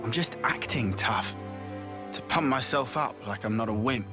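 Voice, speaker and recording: An actor playing an earnest, self-deprecating yet determined young fighter in a video game, speaking plainly and from the heart. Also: male voice, mid-range voice, normal speed, natural voice. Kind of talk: video game cutscene acting. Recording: loud music under the voice. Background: loud music.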